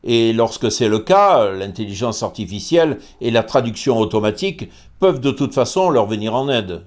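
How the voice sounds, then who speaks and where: Man, host, scripted commentary, measured, hesitant, argumentative. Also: medium pitch. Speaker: host presenting a commentary series. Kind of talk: scripted commentary read aloud.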